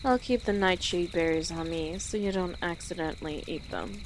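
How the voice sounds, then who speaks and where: A woman playing a small, wry orc traveler in an immersive audio roleplay, gruff but caring, with a dry, hesitant, matter-of-fact manner. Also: lowish voice, moderate pace, natural voice. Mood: matter-of-fact, friendly. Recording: clear outdoor nature ambience noise.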